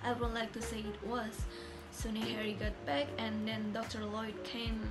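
A woman's voice: on the high side, flat delivery, moderate pace, loud music behind the voice, close to an everyday voice. A young woman playing an earnest male farmer neighbour giving a witness account in an amateur student drama. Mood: recounting.

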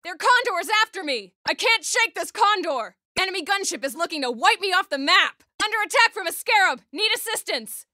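Game unit, female scout driver: young, cheeky, jumpy, very high bright voice, clipped military calls with wry asides. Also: expressive, a little fast, hardly any pauses, no room sound, clean studio sound, full character voice. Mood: urgent, alarmed. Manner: clipped radio-call phrasing with wry quips. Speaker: game voice actor.

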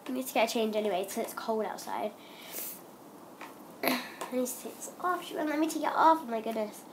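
A woman's voice: a little high, expressive, normal speed, noisy background. A young girl vlogging at home, chatting in a rambling way with lots of ums while she has a cold. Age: child. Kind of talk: casual chatty vlog.

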